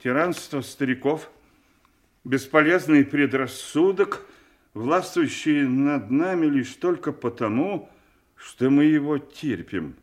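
A man's voice: a little high, lively intonation, takes it slowly. An older man acting an aging, gullible nobleman father in a classical audio play, emphatic and theatrical, anguished and suspicious. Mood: reading aloud, disturbed. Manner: theatrical, emphatic, swinging from hushed to outraged.

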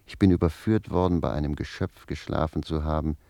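Very deep, mellow roguish manservant. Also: male voice, steady tone, fairly quick, gravelly, dry recording. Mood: dry, self-satisfied. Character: roguish, garrulous manservant reporting back to his master. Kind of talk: German radio play acting.

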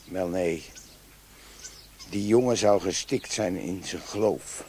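An actor playing a stubborn, fiercely anticlerical freethinker with a gruff, emphatic manner in a Dutch radio drama. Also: male voice, low voice, slow pace, dark tone, a little hiss or hum. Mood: bitter sorrow.

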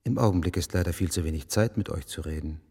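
Ein Schauspieler spielt in einem deutschen Hörspiel einen förmlichen, ausweichenden, selbstgefälligen Beamten mit gemessener, gewichtiger Redeweise. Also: male voice, deep voice, mellow, husky. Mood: evasive. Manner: measured, formal, evasive, pompous. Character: guarded, smug magistrate's official, formal and evasive. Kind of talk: German radio play acting. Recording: long reverberant hall.

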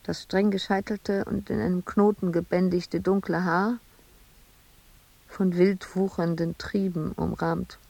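A woman's voice: lowish voice, flat delivery, unhurried, creaky, a little mellow, dry recording. An actress playing an embittered, intense woman sculptor confined in an asylum, in a radio drama monologue. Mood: brooding, bitter.

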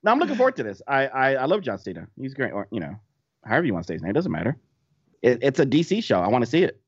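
A man's voice: mid-range voice, wildly swooping pitch, racing, breathy edge, no room sound. A man co-hosting a podcast, joking and teasing in a casual chat. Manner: joking, teasing, laughs often. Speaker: podcast co-host. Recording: dull lo-fi dry sound.

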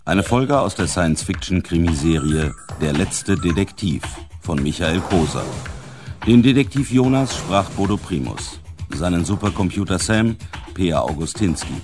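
A man reading scripted radio drama credits as a closing announcer, in a measured, formal manner. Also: low voice, animated, music in the background.

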